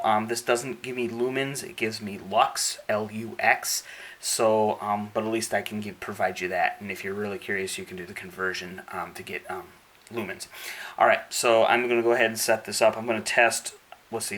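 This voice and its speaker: Bright-voiced, low-pitched man reviewing gear. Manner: methodical, explanatory, checking in with "okay?" and "right?". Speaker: product reviewer. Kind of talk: casual hands-on product review, talking through demonstrations.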